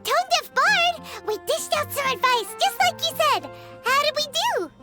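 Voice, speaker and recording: A woman's voice: very high voice, big pitch swings, exaggerated voice. A woman voicing a small childlike fairy companion in an anime game, cute and emphatic, blunt and a little bossy. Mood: skeptical, teasing. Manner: cute, emphatic, drawn-out exclamations. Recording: studio voice over game music. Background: playful tinkling music.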